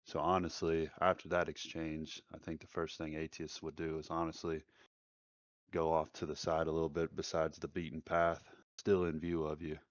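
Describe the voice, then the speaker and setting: Really deep guy voice playing an rpg, thinking out loud, muffled dry mic. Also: little pitch movement, moderate pace, no echo. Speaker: tabletop game player. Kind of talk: casual chat describing his character's actions.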